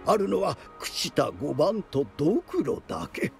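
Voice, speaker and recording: An actor playing a theatrical storyteller of eerie legends, speaking with deliberate suspense and a gravelly creak. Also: male voice, a little high, very animated, heavily acted. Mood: suspenseful, grave. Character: theatrical male storyteller spinning eerie mountain legends, dramatic, knowing. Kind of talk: dramatic oral storytelling. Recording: loud music under the voice.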